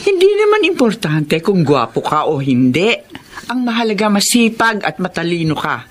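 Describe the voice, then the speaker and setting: Kindly, lively-toned older mother. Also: on the low side, normal speed, slight background noise. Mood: warm, encouraging. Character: kindly, encouraging older mother figure, devout. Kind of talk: Filipino radio drama acting.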